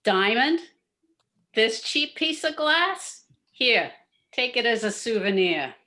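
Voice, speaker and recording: A woman's voice: on the high side, sing-song, slow pace, sharp tone, exaggerated voice. Woman acting a brassy, scheming noir lady boss. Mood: sly, gloating. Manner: theatrical, gloating. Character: scheming brassy female nightclub boss Sal, noir gang leader. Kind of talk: campy 1940s noir radio play acting, live.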